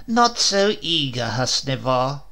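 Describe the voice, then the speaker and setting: A man's voice: medium pitch, very animated, slow pace, bright, forceful tone, full character voice. A man voicing a stern, noble old king who scolds and mocks his spoiled son in a storybook reading. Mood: stern, lecturing.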